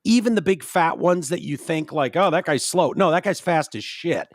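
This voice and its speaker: Blunt, profane man with a raised pitch. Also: moderate pace, dry recording, studio quality. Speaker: podcast host. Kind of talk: casual podcast chat.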